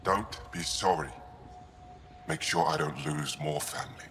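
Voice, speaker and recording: An actor playing a grim alien man who speaks bluntly and urgently about his family, with a gravelly voice. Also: very deep voice, measured pace, background noise. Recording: game dialogue over clear music. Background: music.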